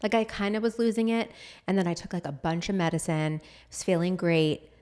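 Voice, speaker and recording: A woman in her thirties co-hosts a podcast in a relaxed, chatty way, laughing often and filling her talk with "like". Her voice sits a little low and sounds a touch hoarse, with a lingering froggy throat. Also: fairly quick. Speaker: podcast co-host. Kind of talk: casual chat.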